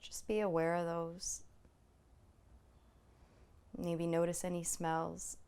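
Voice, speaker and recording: A woman with a lowish, smooth voice that settles into a noticeable creak guides a body scan meditation. She is soothing and unhurried, leaving long pauses between phrases. Her manner is gently permissive and reassuring. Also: flat delivery. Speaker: meditation instructor.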